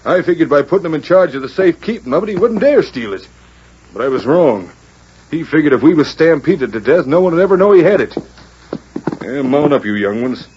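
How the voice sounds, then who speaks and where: An actor playing a gruff, indignant frontier rancher with folksy speech, in a 1940s Western radio drama. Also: male voice, a little high, animated, fairly quick, a little mellow, a little hiss or hum, close to an everyday voice. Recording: muffled, worn 1940s radio recording.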